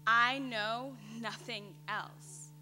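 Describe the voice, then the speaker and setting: A woman in her twenties delivers a monologue on stage at a very slow pace, weighting each phrase with pauses. The recording is reverberant, with clear noise behind her. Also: fairly high pitch, fairly even intonation, bright, forceful tone. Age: 20s. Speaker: student performer. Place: on stage in a theater. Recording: noisy, echoey theater hall.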